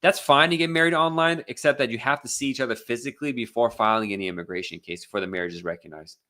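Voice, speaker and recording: A man's voice: medium pitch, fast talker, sharp tone. An American man hosting a casual live Q&A as an immigration attorney, reading out viewer questions and answering them bluntly with many fillers and restarts. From United States.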